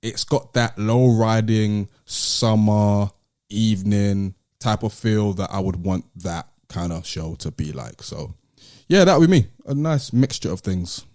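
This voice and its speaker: A man's voice: lowish voice, unhurried, darkish tone, dry recording, studio quality. A British man hosting a podcast in casual, slangy, laid-back chat. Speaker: podcast host.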